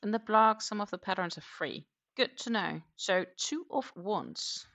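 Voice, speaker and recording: A woman with a Danish accent talks in a relaxed, rambling way, thinking out loud as she goes. Her voice is bright and has a gravelly edge. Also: medium pitch, a little slow. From Denmark. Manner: chatty, musing aloud with fillers and restarts. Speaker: crochet livestream host. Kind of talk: casual chat while crocheting.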